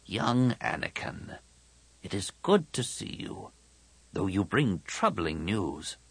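Man voicing an ancient, solemn alien master, softly spoken. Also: low-pitched, sing-song, breathy edge, no echo, full character voice. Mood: grave welcome. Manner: measured, formal phrasing. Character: ancient, small, long-eared alien master, solemn and wise. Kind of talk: audiobook character dialogue.

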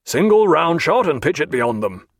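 A man voicing a male naval captain who gives crisp, curt orders to his crew. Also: a little high, very animated, normal speed, dry recording, studio quality, pitched above the performer's own voice. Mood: commanding.

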